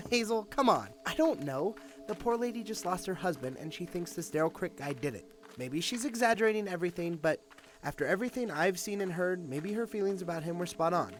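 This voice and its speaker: A man's voice: high-pitched, animated, a little fast, soft background music. A man playing a cynical, impatient police detective in an audio drama, with a sarcastic, emphatic way of talking. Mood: wry, grumbling. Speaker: voice actor.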